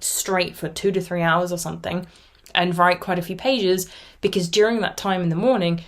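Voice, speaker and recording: A woman of about thirty whose first language is German talks in a relaxed, rambling way. Her voice sits a little lower than most women's. She chats casually, reflecting aloud as she hosts her own knitting podcast. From Austria. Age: around 30. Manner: rambly, chatty, self-reflective. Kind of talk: casual vlog chat.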